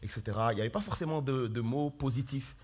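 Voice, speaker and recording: Husky-voiced pastor with a cold. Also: male voice, mid-range voice, quick pace. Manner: earnest, calls out questions to the congregation, many fillers and restarts. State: hoarse with flu. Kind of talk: sermon with opening prayer. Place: church hall.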